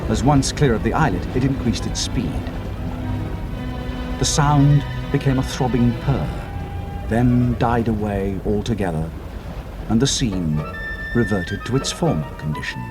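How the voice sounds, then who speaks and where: A middle-aged man narrating a dramatized adventure story, slowing down for suspense and dropping to hushed tones for atmosphere. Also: fairly low pitch, expressive. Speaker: audiobook narrator. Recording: music score underneath.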